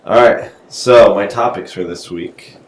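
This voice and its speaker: A man in his twenties speaks in a fairly low, dry voice as he runs through a podcast's topics. He hesitates with fillers along the way. The room around him is echoey. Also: unhurried, slight background noise. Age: 20s. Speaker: podcast co-host. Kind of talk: casual chat, reading topic rundown.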